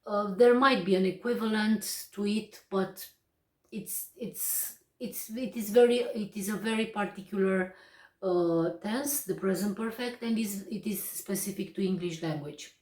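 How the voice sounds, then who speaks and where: A young woman from Romania with a Romanian accent, a native Romanian speaker, teaching English grammar in a careful, explanatory way with many pauses. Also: mid-range voice, a little mellow. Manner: slow and deliberate, with frequent pauses and hesitations. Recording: echoey room, distant mic.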